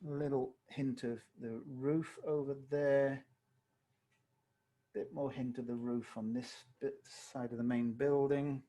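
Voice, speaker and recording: A man with a slightly hoarse voice, teaching watercolor live on a video call and thinking aloud as he paints. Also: mid-range voice, takes it slowly. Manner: relaxed, thinking aloud while painting, self-correcting. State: slightly hoarse, losing his voice. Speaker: watercolor tutor. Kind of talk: live online painting tutorial.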